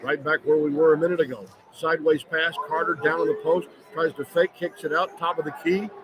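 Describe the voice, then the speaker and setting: A man's voice: a little high, steady tone, brisk, highs cut off, a crowd in the background. A man doing live radio play-by-play of a basketball game as the announcer. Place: courtside in a large indoor arena. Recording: noisy live arena broadcast.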